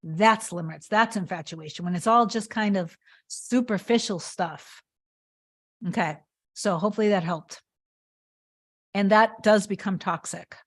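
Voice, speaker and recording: A woman's voice: mid-range voice, unhurried, pauses often. A woman coaching on a live stream, answering chat comments in an earnest, emphatic way and often repeating herself. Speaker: manifestation coach.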